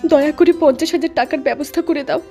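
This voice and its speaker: A woman's voice: high voice, quick pace, warm tone, soft background music. A woman voicing a desperate, headstrong younger sister in a cartoon with earnest, dramatic delivery. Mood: pleading.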